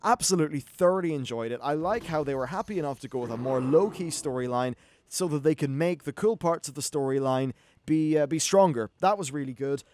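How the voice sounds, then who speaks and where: A man's voice: fairly high pitch, brisk, a little mellow. A man giving casual, off-the-cuff video game commentary as host, chatty and wry, with some swearing.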